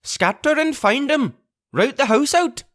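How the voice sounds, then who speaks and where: A man voicing a vicious blind old pirate beggar who shouts his commands and curses in a shrill, raised voice. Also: lively intonation, a little slow, exaggerated voice. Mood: raging.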